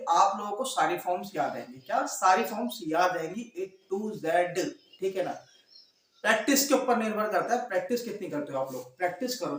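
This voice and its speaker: A male teacher with a sore throat drills his class, asking each question twice and answering it himself. His voice sits high for a man. He sounds distant from the mic, in a room full of echo. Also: fairly bright tone. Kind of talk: classroom grammar lesson.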